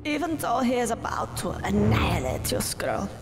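A man's voice: high-pitched, wildly swooping pitch, moderate pace, music in the background, heavily acted. A man voicing a pompous, villainous weasel professor in a video game, theatrical and hysterical. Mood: pompous gloating. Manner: pompous, theatrical, swinging into shrieks. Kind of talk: video game character acting.

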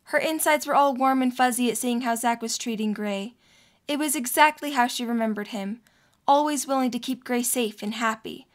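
Woman narrator with a fairly high voice. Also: moderate pace. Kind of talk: scripted story narration.

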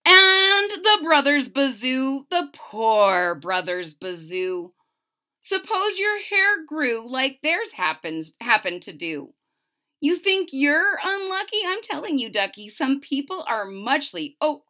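A woman's voice: on the high side, big pitch swings, slow, pushed and bright. A woman in her forties reading a children's picture book aloud with emphatic, sing-song delivery and casual asides. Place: small furnished room at home.

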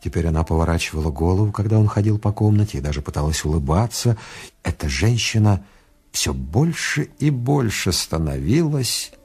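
An actor playing the narrator of a radio detective drama, with a measured, literary delivery. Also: male voice, sits low, expressive, a little mellow, breathy edge, exaggerated voice.

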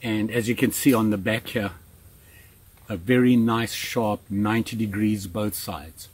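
A man in his sixties giving a relaxed, chatty hands-on knife review outdoors in the woods, with plenty of fillers and restarts. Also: fairly low pitch, measured pace, husky. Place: outdoors in woods, kneeling in the undergrowth.

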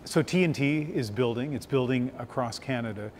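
A man's voice: mid-range voice, moderate pace, a little hiss or hum. A man in his forties interviewing a guest for a TV news piece, asking probing, conversational questions. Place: grocery store interior.

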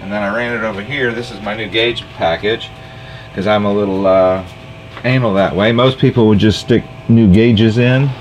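A man's voice: lowish voice, fairly even intonation, moderate pace, dull audio, faint music behind. A man in his forties casually walking through a homemade project in his cluttered workshop, explaining step by step, picked up by a camera mic a few feet away. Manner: conversational, explaining step by step with fillers. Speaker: boat owner and DIY tinkerer. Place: cluttered home workshop, indoors.

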